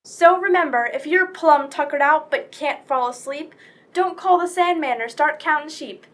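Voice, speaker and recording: A folksy Western saleswoman acted by a woman delivering a vintage radio advert. Her voice is high, cheerful and punchy. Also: normal speed, natural voice. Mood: chipper. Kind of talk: 1940s radio commercial acting.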